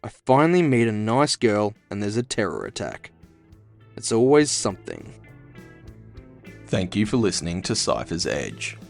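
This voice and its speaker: A man's voice: lowish voice, very animated, normal speed, gravelly, pauses often, faint music behind. A man giving a scripted first-person narration of an audio fiction story as its author. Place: dry, close recording space.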